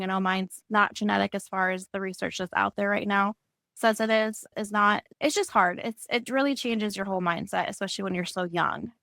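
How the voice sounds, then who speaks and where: Creaky-voiced woman in her thirties, conversational. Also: medium pitch, a bit bright, no echo, clean studio sound. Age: 30s. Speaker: panelist, cancer patient and mother. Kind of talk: personal testimony in a panel discussion.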